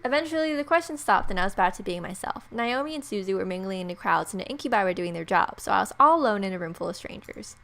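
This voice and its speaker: A woman's voice: medium pitch, expressive, fast talker, creaky, hardly any pauses, a bit bright. A woman hosting a gaming video, reading a visual novel's story aloud with playful asides.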